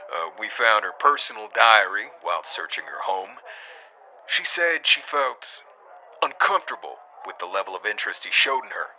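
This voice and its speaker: A man playing a serious police detective, answering in a deliberate, matter-of-fact way through a call-like filter. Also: lowish voice, slight background noise. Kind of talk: scripted interview in audio drama. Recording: dull, band-limited call sound.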